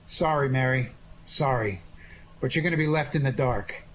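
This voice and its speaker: A man's voice: medium pitch, moderate pace, lo-fi sound, heavy background noise, close to an everyday voice. A man playing a cocky, wisecracking amateur sleuth in a 1940s-style radio detective drama, breezy and tough-talking. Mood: breezy, mocking.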